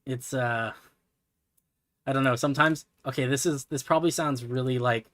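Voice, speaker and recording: Soft-spoken man rambling on stream. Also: medium pitch, steady tone, moderate pace, no echo. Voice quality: soft, relaxing voice. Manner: rambling, self-deprecating, many fillers. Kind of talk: casual livestream chat while building.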